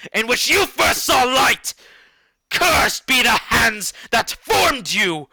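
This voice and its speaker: A horrified, wrathful scientist, played by a man, declaims in a high and very forceful voice. The delivery is vehement and slow, swinging widely in pitch like staged literary drama. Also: no echo, heavily acted. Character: horrified, wrathful male scientist rejecting his creation.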